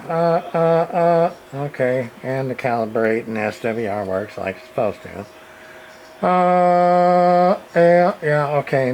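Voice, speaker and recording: A folksy, plain-spoken man talks through a hands-on radio demonstration in a casual, unscripted way. His delivery is slow and halting, with a medium pitch. Clear radio noise and hiss sit behind his voice. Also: a little mellow, rough recording. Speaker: radio repair hobbyist demonstrating a CB radio.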